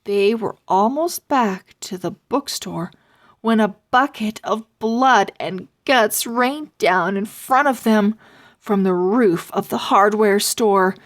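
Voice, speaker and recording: Very slow, suspenseful woman storyteller. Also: medium pitch. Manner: suspenseful, expressive. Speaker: storybook reader. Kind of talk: scripted children's story narration.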